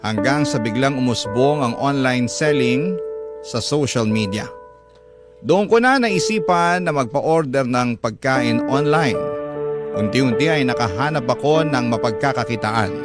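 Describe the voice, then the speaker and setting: Earnest husband and father narrator, played by a male actor, Filipino, mid-pitched, measured and reflective, radio drama with loud guitar underneath. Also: lightly acted. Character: earnest husband and father recounting his life story. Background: loud plucked guitar music.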